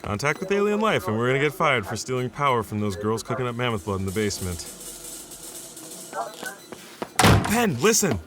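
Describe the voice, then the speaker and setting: A man's voice: medium pitch, very animated, fast, loud music behind the voice, lightly acted. A man acting as a sarcastic, exasperated lab assistant in an audio drama, talking colloquially. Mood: anxious, wry. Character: wry, exasperated male lab assistant and old friend.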